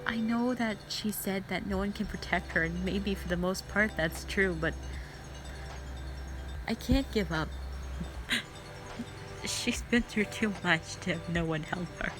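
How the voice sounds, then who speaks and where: A woman voicing a gentle, protective young woman in an audio roleplay drama, speaking in an earnest, consoling way. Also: medium pitch, slow, pauses often, over music, lightly acted, rough recording. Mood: sad, determined. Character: gentle, protective young woman comforting her hurting friend.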